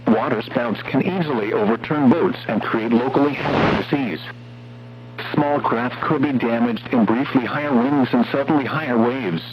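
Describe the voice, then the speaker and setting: A synthetic man's voice reading scripted weather warnings in a formal, measured, impersonal way, as an automated radio announcer. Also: medium pitch. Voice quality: synthetic text-to-speech voice. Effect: radio broadcast. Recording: muffled weather radio with hum and noise.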